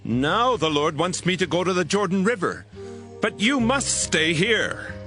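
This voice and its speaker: An actor playing a stern, solemn Hebrew prophet in a dramatized audio Bible, speaking with emphatic, declamatory delivery. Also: male voice, high voice, big pitch swings, a little slow, sharp tone, soft background music, heavily acted. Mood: solemn, commanding.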